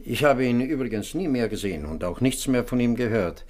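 Small-shop tradesman played by a male actor, middle register, obliging yet sly, halting courtesy, for a radio detective drama. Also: medium pitch, expressive, a little mellow, lightly acted. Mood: helpful, confiding. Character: male barrel-organ shopkeeper, courteous, wry, slightly crafty tradesman. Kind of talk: German radio crime drama dialogue.

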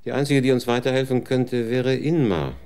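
A man's voice: medium pitch, moderate pace, a little mellow, clean tone, lightly acted. An actor playing a world-weary male TV executive in a radio drama, blunt and sardonic.

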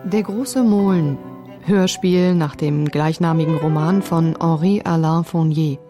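A woman giving a calm, formal scripted announcement as a radio drama announcer. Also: low voice, a little slow, over music. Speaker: announcer reading credits.

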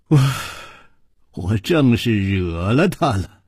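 A man voicing a composed, rueful young hero in an audiobook story, measured and sighing. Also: medium pitch, a little mellow, slightly rough, no room sound, clean studio sound, heavily acted.

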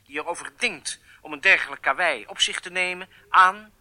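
A captured German spy being interviewed in a radio play. The man's voice is fairly high and very sharp, and his statements come out deliberate and declarative. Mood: indignant. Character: captured German agent giving a contrite interview. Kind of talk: radio drama acting.

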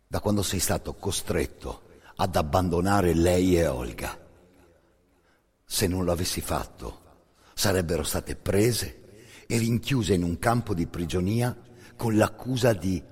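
In a long reverberant hall, a male actor narrates a dramatic monologue. His voice is very low and heavily husky. He speaks intensely, in short halting phrases. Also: lively intonation. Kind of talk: dramatic second-person theatre monologue.

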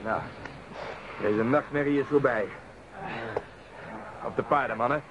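A man's voice: mid-range voice, unhurried, close to an everyday voice. A man playing a hearty, blustery eldest brother who commands the others in a radio fairy tale. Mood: commanding. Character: hearty, boisterous eldest warrior brother, leader of men. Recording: dull, noisy radio play with horse sounds.

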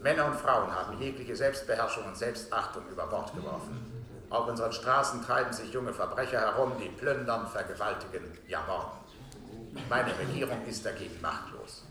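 An actor playing a grave head of government addressing the nation in a formal, emphatic radio-play speech. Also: male voice, fairly low pitch, a little fast, bright, forceful tone, roomy sound. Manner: solemn, emphatic oratory.

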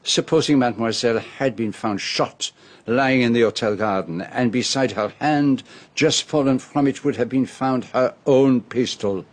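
A male actor as a gentleman detective in a radio mystery with a French accent and a medium-pitched voice. He is earnest and protective, choosing formal words deliberately and giving them theatrical weight. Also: close to an everyday voice. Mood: grave, didactic. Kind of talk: radio drama acting.

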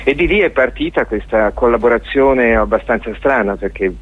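A man's voice: medium pitch, lively intonation, moderate pace. A man being interviewed on radio as a guest artist, explaining a show discursively and searching for words. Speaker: guest, theatre and dance artist. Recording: narrow tinny phone-like line.

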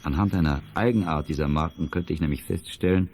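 Male actor for middle-aged company director, radio play: low mellow voice, formal, polite, earnest and discreet. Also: brisk, a little hiss or hum, natural voice. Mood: earnest, confidential. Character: courteous, businesslike male auction house director, discreet and earnest. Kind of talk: 1980s children's audio drama acting.

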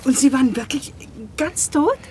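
A high female voice plays a curious, awed onlooker in a radio drama. There is faint ambience in the background. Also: expressive, moderate pace, dark tone, a little hiss or hum. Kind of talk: radio play acting.

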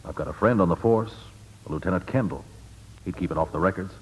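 An actor playing a self-assured, affable and probing nightclub boss in a 1940s radio drama, with a husky voice. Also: male voice, low-pitched, a little fast, slight background noise, natural voice, poor audio.

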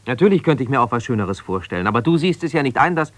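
An actor playing an embittered, ambitious lawyer husband who talks in an argumentative, colloquial way in a naturalistic radio play. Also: male voice, mid-range voice, very animated, fast talker, a bit bright, no room sound. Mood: angry, frustrated. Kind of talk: naturalistic radio play, one side of a phone call.

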